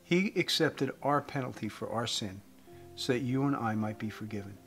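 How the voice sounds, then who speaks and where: A man in his fifties giving an earnest evangelistic talk to camera as a host, phrasing deliberately with emphasis. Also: mid-range voice, fairly bright tone. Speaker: host giving a faith message. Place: indoors, room with wooden beams. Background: guitar music.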